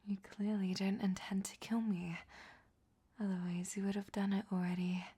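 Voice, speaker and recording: A woman's voice: mid-range voice, little pitch movement, normal speed, mellow. A woman playing a vain, flirtatious captive vampire in a whispered roleplay audio drama, with a coy, teasing delivery. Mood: reluctant, huffy. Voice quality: breathy, whispery.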